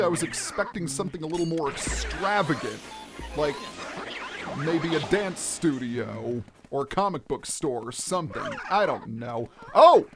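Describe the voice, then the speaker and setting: A gaming video host giving chatty, expressive let's play commentary and explaining things clearly as they go. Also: medium pitch, a little hiss or hum. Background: game music.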